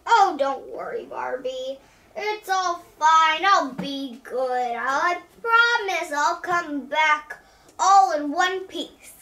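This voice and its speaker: A woman's voice: high-pitched, lively intonation, takes it slowly, sharp tone, heavily acted. A woman voicing a cheerful young man doll who rides a motorcycle, upbeat and whiny when hurt, in a toy play video.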